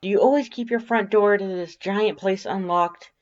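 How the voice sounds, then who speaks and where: Someone voicing a fierce, loud fish-monster woman, pitched high. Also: normal speed, highs cut off, natural voice. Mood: accusing. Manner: accusing, blunt, intense.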